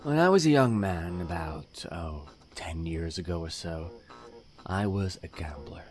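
An actor playing a deranged, nihilistic serial killer in an audio drama, brooding and menacing in a breathy voice that often drops to a whisper. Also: male voice, low-pitched, lively intonation, heavy background noise. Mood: confessional. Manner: slow, brooding monologue in clipped phrases. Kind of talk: audio drama villain monologue.

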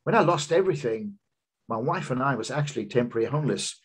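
A man's voice: lively intonation, quick pace, slightly rough. A man in his fifties, a business coach, giving an earnest seminar talk over slides on a video call from a home office. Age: 50s. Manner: earnest, personal storytelling pitch with emphatic rises. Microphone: video call webcam.

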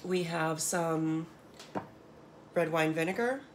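Low-voiced, chatty American woman vlogger. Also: slow. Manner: enthusiastic, chatty, emphatic. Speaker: home cook and vlogger. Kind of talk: casual unboxing vlog talk to camera. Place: small home kitchen, indoors. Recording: clearly noisy home room recording.

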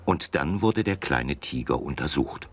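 A man's voice: rumbling low, lively intonation, measured pace, slightly rough. A man narrating a scripted children's story as the storyteller.

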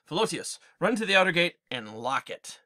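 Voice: mid-range voice, very animated, normal speed, bright, forceful tone, voice pushed up. A teacher reading aloud as a disguised old warrior king, firm and giving orders. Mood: commanding.

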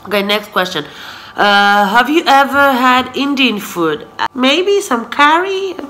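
A woman's voice: high-pitched, expressive, slow, pushed and bright. A woman in her twenties whose first language is Hebrew, chatting casually through a question-and-answer vlog, often laughing and saying "so yeah.". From Israel. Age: 20s. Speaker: vlogger. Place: living room, sofa, indoors. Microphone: camera in front at close range.

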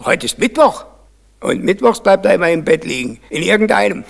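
Chatty club telephone answerer: raised, creaky voice, cheeky, old crime radio play. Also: male voice, high voice, very animated, brisk, full character voice. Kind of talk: German crime radio play acting, circa 1970.

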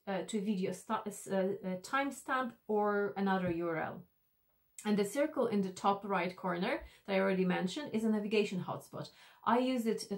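A woman in her thirties presenting an instructional tutorial to camera, explaining step by step in a deliberate, slightly hesitant way. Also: mid-range voice. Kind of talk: instructional screencast walk-through to camera.

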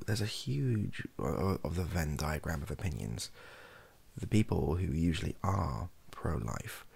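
A young man with a husky, gravelly voice, rambling softly and wryly to camera, often hesitating and correcting himself. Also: extremely low pitch. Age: young adult. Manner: hesitant, self-correcting, wry. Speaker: ASMR comedy video creator. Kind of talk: improvised soft-spoken rambling to camera. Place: small home bedroom office.

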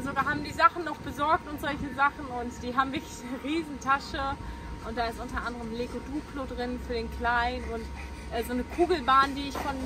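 A woman's voice: sits high, rough recording. A woman in her thirties, a family vlogger, chatting casually to a handheld camera with many hesitations. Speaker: vlogger, mother travelling in a camper. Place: playground outdoors, then inside a camper van.

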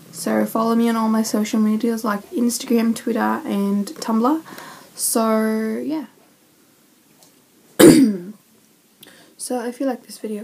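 A teenage girl talking slowly to her webcam, her voice mellow and soft-edged. She rambles and trails off in a self-deprecating way. A faint hiss sits under the bedroom recording. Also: on the high side, vocal fry, poor audio. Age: teens. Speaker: vlogger. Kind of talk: casual vlog chat. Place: bedroom, indoors.

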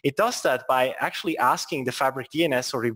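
A man's voice: medium pitch, brisk, a bit bright. A man from Switzerland with a Swiss accent, presenting a technical webinar with joking asides and frequent restarts.

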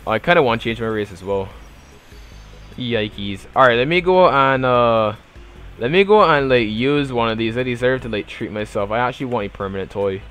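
A man's voice: medium pitch, lively intonation, normal speed, fairly bright tone, clear, resonant tone, soft background music. A young man with a Bajan accent, chatting casually on a live game stream and answering his chat in loose, slangy banter. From Barbados. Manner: laid-back slangy banter, trailing off into mumbled fillers. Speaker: gaming livestreamer.

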